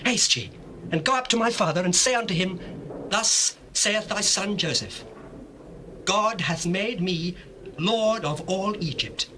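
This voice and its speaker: A man's voice: high-pitched, unhurried, sharp tone, a little hiss or hum. An actor playing an emotional governor of Egypt addressing his family in a dramatized scripture on old cassette tape. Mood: fervent. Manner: fervent, declamatory. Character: Joseph, emotional lordly governor of Egypt, tender brother. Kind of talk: dramatized scripture, theatrical stage acting.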